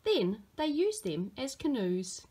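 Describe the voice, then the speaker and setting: A woman's voice: a little high, sing-song, slow, warm tone, husky, exaggerated voice. A woman voicing a small, earnest, imaginative girl who tells tall tales in a dramatic storybook manner.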